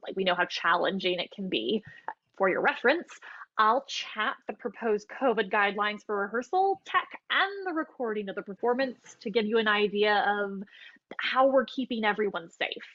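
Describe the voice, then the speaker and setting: A woman's voice: medium pitch, expressive, normal speed, a bit bright. A woman playing an earnest, businesslike casting director in an online play, courteous and explanatory. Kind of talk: naturalistic dialogue in an online one-act play. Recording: slightly muffled video-call audio.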